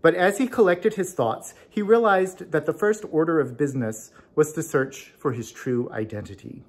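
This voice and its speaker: A man's voice: fairly high pitch, moderate pace, breathy edge. A man introducing and reading a story aloud in a storytelling style.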